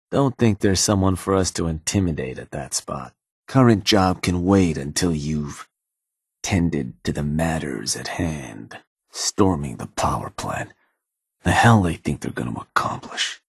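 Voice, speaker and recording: A scheming raider advisor from a video game, middle-aged and unhurried. His voice sits low and a little husky, and it comes across dry and close. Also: dry recording, heavily acted. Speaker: advisor to the player's raider gang.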